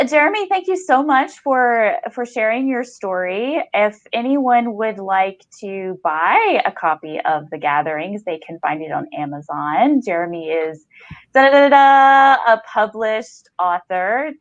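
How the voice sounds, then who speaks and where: A woman's voice: mid-range voice, expressive, unhurried, no room sound, highs cut off. A woman hosting a podcast, interviewing an author in a reflective, affirming, conversational way. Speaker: podcast host.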